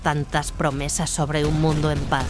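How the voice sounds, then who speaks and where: Una mujer que interpreta en un audiodrama a una hermana de batalla, severa, tajante, acostumbrada a mandar. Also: female voice, sits low, flat delivery, measured pace. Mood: bitter. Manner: commanding, clipped, authoritative. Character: Mirilla, stern commanding battle-sister squad leader. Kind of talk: audio drama acting. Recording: dramatic music behind the voice.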